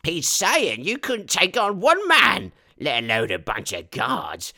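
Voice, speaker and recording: A man's voice: sits high, wildly swooping pitch, slow pace, sharp tone, pitched above the performer's own voice, exaggerated voice. A man voicing crude, boastful orc soldiers squabbling in ungrammatical rustic speech. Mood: indignant, mocking.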